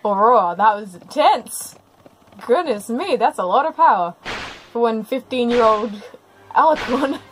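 A woman giving spontaneous reaction commentary, excitable and full of exclamations. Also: fairly high pitch, measured pace, slight background noise, rough recording.

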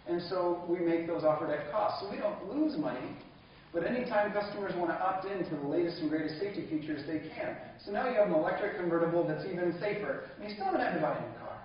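A man with a fairly high voice delivers an enthusiastic keynote speech in a large conference hall. The sound is dull and lo-fi, picked up from a distance, with heavy echo from the room. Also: a little hiss or hum. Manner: enthusiastic, laughs along with the audience. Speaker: keynote speaker.

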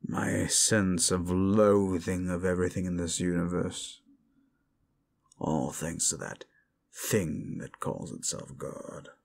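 A man's voice: deep voice, steady tone, slow, vocal fry, a little mellow, heavily acted. A man voicing a cruel, condescending demon in an intimate audio roleplay, taunting the listener with drawling sarcasm. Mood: menacing, gloating. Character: condescending male demon, gleefully cruel and mocking.

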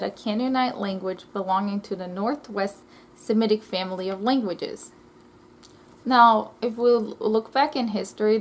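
Young woman vlogging, slightly gravelly. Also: medium pitch, a little hiss or hum. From Israel. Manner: chatty, reads notes with frequent ums and self-corrections. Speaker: language vlogger. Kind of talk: casual educational vlog. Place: small bedroom, indoors. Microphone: laptop webcam mic.

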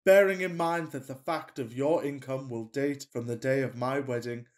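A man playing a fussy, passionate Victorian gentleman in a farcical radio play, with pompous, declamatory delivery. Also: medium pitch, steady tone, moderate pace, natural voice. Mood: rapturous. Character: melodramatic, fussy Victorian gentleman suitor, self-pitying and passionate.

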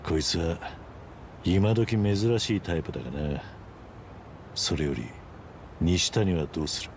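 Laconic game yakuza veteran, deep and unhurried, stoic, faint rumbling noise behind. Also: male voice. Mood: calm. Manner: laconic, deadpan.

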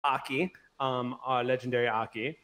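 A man's voice: medium pitch, steady tone, normal speed, a bit bright. A man in his thirties casually hosting a tabletop roleplay livestream as game master. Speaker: game master and stream host. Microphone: desk mic on video call.

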